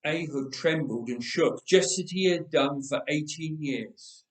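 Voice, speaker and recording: A man reading a dramatic story aloud with measured, emphatic delivery and dramatic pauses. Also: fairly high pitch. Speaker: storyteller, reader. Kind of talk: dramatic scripted story narration. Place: roomy church interior. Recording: echoey church, distant microphone.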